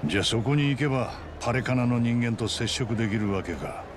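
Guy playing gruff middle-aged cop type in a game, blunt, doubtful, street noise behind. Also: medium pitch, expressive, fairly quick, close to an everyday voice. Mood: skeptical. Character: burly, skeptical middle-aged male detective type. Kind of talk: Japanese game voice acting.

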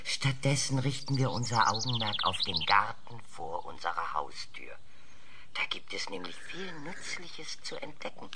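An actor playing a measured, reproachful senior statesman, arguing deliberately in a dramatized television debate. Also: on the low side, sing-song, heavy background noise. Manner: deliberate, argumentative, rhetorical. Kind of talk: audio drama acting as TV debate. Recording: long hall reverb, played-back debate.

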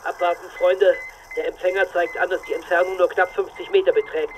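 Man acting a cocky high-voiced space pilot. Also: flat delivery, a little fast, slight background noise, natural voice. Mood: brisk, confident. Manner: teasing, self-assured. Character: cocky, flirtatious male spaceship pilot, confident and breezy. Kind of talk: audio drama acting.

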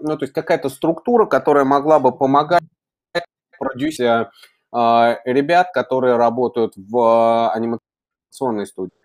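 Deliberate, hesitant man interviewing. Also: mid-range voice. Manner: deliberate, choppy phrasing with hesitations. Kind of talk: conversational interview questioning. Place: indoor room, video call.

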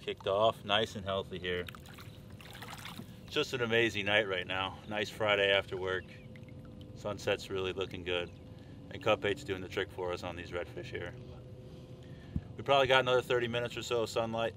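A young man from Florida with a low, deep voice talks upbeat and straight to camera from a small open boat. The sound comes through an action camera strapped to his chest, with a faint hum of engine and water behind him. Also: fairly even intonation, fairly quick, long pauses. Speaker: fishing vlogger. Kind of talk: casual outdoor vlog. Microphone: chest-mounted action camera.

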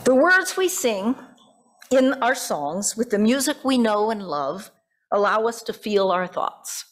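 An older woman preaching as a pastor, reading from notes in deliberate phrases and breaking off for informal, self-correcting asides. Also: a little high, lively intonation. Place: church sanctuary at a pulpit. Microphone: gooseneck pulpit microphone.

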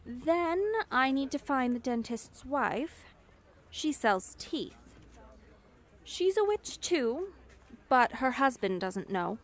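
A woman playing a high-voiced, unhurried confident young witch. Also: animated. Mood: warm, playful. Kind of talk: ASMR roleplay acting.

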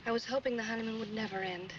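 An affectionate young bride played by a woman in a 1940s noir film. She talks quickly, on a muffled soundtrack with a little hiss. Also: a little high, fairly even intonation, lightly acted. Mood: wistful, tender. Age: young adult.

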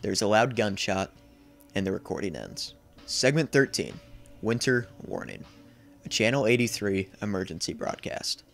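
A young man reads a scripted recap in a low voice with a creaky edge. He sounds like a video essayist, with faint music behind him. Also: animated, normal speed, fairly bright tone. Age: 20s. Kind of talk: scripted narration, horror series recap. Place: small indoor room.

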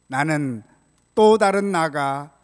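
An older man preaching a prepared sermon as a pastor, in a measured, solemn way, from a church pulpit microphone. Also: on the high side, expressive. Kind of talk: sermon, read from prepared text. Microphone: gooseneck pulpit microphone. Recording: reverberant church hall sound.

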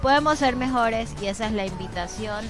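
A woman's voice: fairly high pitch, a little fast. A woman in her forties with an Ecuadorian accent, co-hosting a casual radio chat show. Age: 40s. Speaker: radio co-host. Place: small indoor radio studio. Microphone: studio microphone. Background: music.

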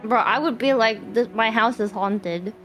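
High-pitched excitable woman gamer. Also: measured pace, faint music behind, low-quality recording. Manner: excitable, gasping and laughing. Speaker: streamer playing co-op game. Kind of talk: casual gaming chat.

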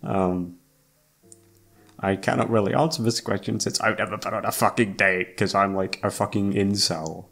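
A young man with a fairly low voice rambles casually to his audience over background music. He hesitates, doubles back and puts himself down, with plenty of swearing. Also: steady tone, normal speed, vocal fry, fairly bright tone. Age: young adult. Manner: hesitant, self-deprecating, sweary, trails off. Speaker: YouTube voice actor and channel host. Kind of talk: casual rambling chat to listeners. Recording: music playing behind the voice.

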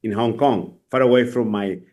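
A middle-aged man from Argentina, a native Spanish speaker, talking in English on a video call from a curtained room, animated and repeating words. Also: mid-range voice, moderate pace. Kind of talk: casual interview chat. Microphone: desk mic on video call.

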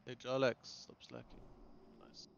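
A man with a creaky voice giving casual callouts and assignments on game voice chat. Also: mid-range voice, completely flat delivery, a little slow, lo-fi sound, slight background noise.

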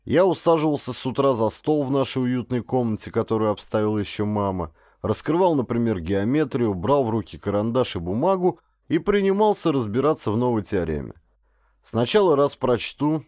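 A man narrating a first-person literary story as an audiobook, with expressive, acted storytelling. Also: medium pitch, no echo, clean studio sound.

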